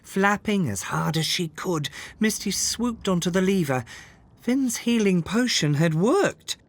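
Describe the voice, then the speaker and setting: A storyteller reading a children's fairytale aloud in an expressive, measured way, with dramatic scripted delivery. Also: medium pitch. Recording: music under the voice. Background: background music.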